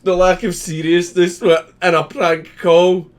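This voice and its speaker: A man's voice: high voice, flat delivery, slow. A man giving casual commentary as he reads a news article aloud, swearing freely in an amused, sardonic manner. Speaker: host.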